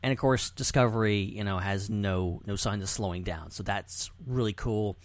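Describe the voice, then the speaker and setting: A man's voice: lowish voice, moderate pace, no room sound. A man hosting a podcast in casual, rambling, enthusiastic conversation. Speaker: podcast host.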